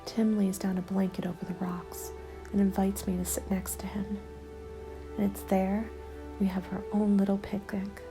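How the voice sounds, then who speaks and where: A woman narrating a first-person ASMR story in a soft, breathy, mostly whispered voice, with a hushed and deliberate delivery. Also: mid-range voice, level tone. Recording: soft music under the voice. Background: instrumental music.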